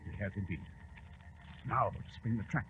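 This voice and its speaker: An actor playing a cool, commanding British detective with a clipped, dramatic delivery in a 1940s radio drama. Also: male voice, lowish voice, normal speed, close to an everyday voice. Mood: tense, commanding. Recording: tinny, noisy 1940s radio recording.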